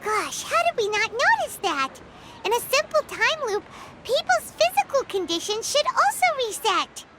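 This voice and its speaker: Squeaky, very high tiny fairy girl. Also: normal speed, very noisy. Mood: excited realization. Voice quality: squeaky, childlike. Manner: excitable, speaks of herself in third person. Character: tiny excitable childlike fairy companion, female. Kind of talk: video game cutscene acting.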